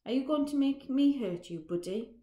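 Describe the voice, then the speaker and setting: A woman's voice: high-pitched, animated, normal speed, voice pushed up. Woman voicing an enraged young factory worker. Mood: furious. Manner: shouting, bitter and threatening. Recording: roomy echo.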